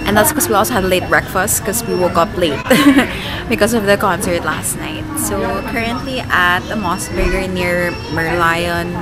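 A young woman with a voice gone hoarse from screaming, chatting upbeat to a handheld camera while sightseeing. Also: fairly low pitch, moderate pace. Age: young adult. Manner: chatty, upbeat, frequent giggles. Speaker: vlogger. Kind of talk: casual travel vlog to camera. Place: city streets, restaurant and observation wheel cabin. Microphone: camera held at arm's length. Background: loud background music.

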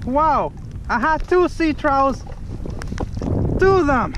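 A man with a very high voice talks into an action camera on his kayak, getting loud and thrilled every time a fish bites. Wind and water rush steadily over the mic out on the open bay. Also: slow. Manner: excitable, exclaims loudly over each catch. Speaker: fishing vlogger. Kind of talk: excited outdoor vlog narration. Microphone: action camera mounted on kayak.

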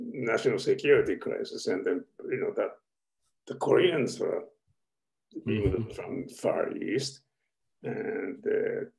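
Low, gravelly man asking halting questions. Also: a little mellow, rough recording. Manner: halting, many restarts and fillers. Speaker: audience member asking questions.

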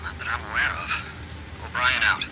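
A man's voice: medium pitch, steady tone, fairly quick, close to an everyday voice, poor audio. A man playing a guarded, dutiful team commander in a video game, speaking in terse, formal radio phrases. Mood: guarded, subdued. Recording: game music behind.

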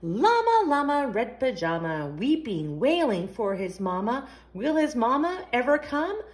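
Female storytime host for young kids, lively swooping delivery at an unhurried pace, sing-song read-aloud. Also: fairly high pitch. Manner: sing-song, asks the young listeners questions. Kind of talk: expressive read-aloud of a picture book for young children.

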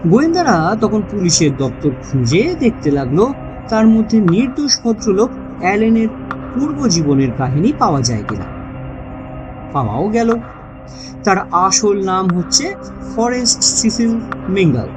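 A woman narrating a mystery story in a dramatic, scripted style with deliberate, expressive phrasing. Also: medium pitch, rough recording. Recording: music bed behind the voice. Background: background music.

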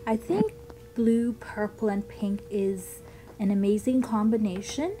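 A woman's voice: medium pitch, expressive, unhurried, dark tone, poor audio. A young woman with a childlike voice narrating a makeup tutorial in a chatty, upbeat way. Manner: chatty, upbeat product talk with ums. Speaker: beauty vlogger.

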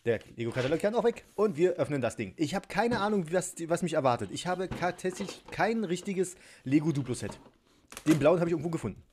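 A man's voice: on the high side, expressive, fairly quick, a little mellow, slight background noise. A man chatting casually and giggling often while he unpacks and builds a toy set at a table at home, poking fun at himself. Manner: chatty, giggly, self-mocking. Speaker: toy unboxing vlogger. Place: home tabletop filmed from above.

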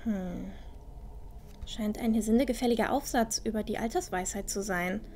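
A woman's voice: mid-range voice, moderate pace, mellow, a little hiss or hum, lightly acted. A woman playing a curious, adventurous young village girl in a fantasy audio drama, often muttering to herself. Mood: curious, musing.

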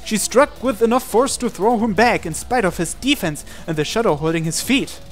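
A man with a fairly high voice reads a visual novel aloud over music. His delivery is earnest and theatrical, telling the story in the first person with dramatic intensity. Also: expressive, normal speed. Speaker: let's-play reader of a visual novel.

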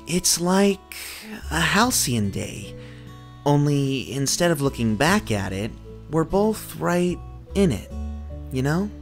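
Cartoon casting: male actor for earnest, easygoing young man, hesitant and unhurried, soft guitar music behind. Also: a little high, very animated, heavily acted. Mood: wistful, content. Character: young, easygoing, wistful, dreamy guy. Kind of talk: cartoon dub voice acting. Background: soft background music with plucked guitar.

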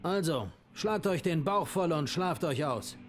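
A man's voice: on the high side, animated, measured pace, soft background music. A man playing an earnest, plain-spoken young army sergeant who commands his squad in a war game. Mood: earnest, steady. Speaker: squad leader. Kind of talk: dubbed war game dialogue.

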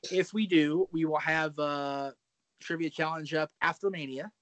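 A man's voice: a little high, fairly even intonation, a little slow, a bit bright, dry recording. A man in his thirties hosting a casual wrestling chat over a video call, talking in a chatty, self-deprecating way full of fillers and restarts. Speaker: podcast host. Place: home room with brick wall, indoors. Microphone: webcam video call.

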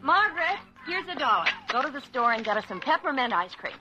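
A woman's voice: sits high, sing-song, fairly quick, over music, rough recording. An actress playing an American mother talking to her young daughter in a radio drama. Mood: matter-of-fact.

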